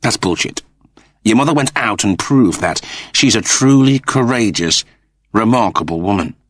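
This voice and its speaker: An earnest, middle-aged dad acted out by a male reader, husky and fairly low. He stumbles over his words and doubts himself, in dramatised audiobook dialogue recorded very dry. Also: lively intonation, a bit bright, no echo. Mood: earnest, insistent. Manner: halting, stammering, earnest. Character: awkward, self-doubting middle-aged father, a lowly technician.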